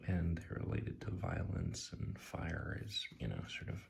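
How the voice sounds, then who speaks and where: A man talking in a soft ASMR style as he reviews cards off the cuff, musing and hesitant, often drifting into a near-whisper. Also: low voice, big pitch swings, unhurried, creaky. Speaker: tarot deck reviewer. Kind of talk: soft-spoken ASMR, impromptu commentary. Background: soft tapping and clicking.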